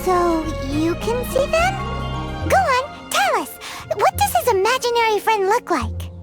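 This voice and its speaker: A nosy, excitable little floating girl for a game. Her voice is extremely high and swoops all over, and she talks slowly. Loud game music plays behind her. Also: exaggerated voice. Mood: curious. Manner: refers to herself in third person, nosy and dramatic. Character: tiny floating girl companion, chatty, excitable. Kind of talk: game voice acting.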